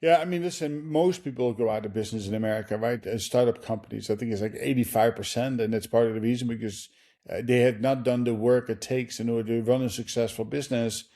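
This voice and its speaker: A man with a Dutch accent hosting a business podcast, asking questions in a halting way with many fillers. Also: medium pitch, mellow. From Netherlands. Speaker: podcast host. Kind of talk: interview podcast conversation.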